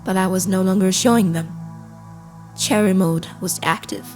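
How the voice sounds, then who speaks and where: Woman as a calm, impassive AI storyteller. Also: medium pitch, normal speed, lightly acted. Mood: somber, measured. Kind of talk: scripted first-person audio drama narration. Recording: cinematic music behind. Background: cinematic score.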